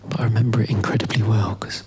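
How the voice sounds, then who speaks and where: A man performing a halting, intimate first-person story in a hushed whisper. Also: fairly low pitch, fairly even intonation, slight background noise.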